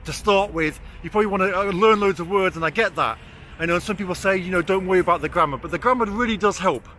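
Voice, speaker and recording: A man's voice: high-pitched, fast, bright, forceful tone. A man of forty with a weak R, chatting casually as he vlogs, blunt and self-deprecating with easy swearing. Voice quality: cannot roll or pronounce R clearly. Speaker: vlogger. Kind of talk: casual walk-and-talk vlog. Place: outdoors on city streets. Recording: outdoor city and traffic noise.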